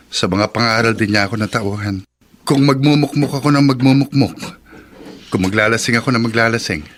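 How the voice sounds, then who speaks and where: An actor with a Filipino accent playing a hurt but resolute abandoned husband in a radio drama, speaking earnestly and emphatically. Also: male voice, medium pitch, a bit bright, natural voice. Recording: noisy radio drama track with clicks.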